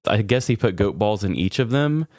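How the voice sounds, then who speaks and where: A man's voice: fairly low pitch, animated, normal speed, a little mellow, dry recording. Guy cohosting a comedy podcast, casual storytelling, super dry close sound. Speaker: podcast co-host.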